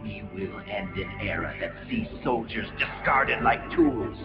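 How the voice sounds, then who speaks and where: An actor playing a charismatic, composed military commander in a video game, speaking in solemn, measured, formal oratory. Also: male voice, lowish voice, expressive. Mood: rousing, solemn. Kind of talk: scripted video game voice acting. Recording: muffled lo-fi game audio under loud music.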